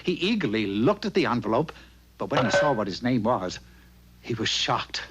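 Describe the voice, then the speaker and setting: A man's voice: medium pitch, very animated, normal speed. A man narrating a scripted fairy-tale parody as a theatrical storyteller. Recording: dull, faintly noisy recording.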